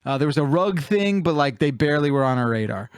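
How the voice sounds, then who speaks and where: A man's voice: fairly high pitch, expressive, fast, clear, resonant tone, no room sound, studio quality. A man hosting a podcast, chatting casually and comedically with the easy looseness of someone telling anecdotes. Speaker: podcast host.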